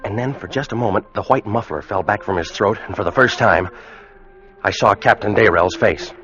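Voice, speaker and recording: A man's voice: lowish voice, a bit bright, lightly acted. A man playing a weakened, fearful American sailor, giving a grave, haunted first-person account in a radio drama. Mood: haunted, tense. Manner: grave, haunted, measured storytelling. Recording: muffled vintage radio recording, music behind. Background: dramatic music.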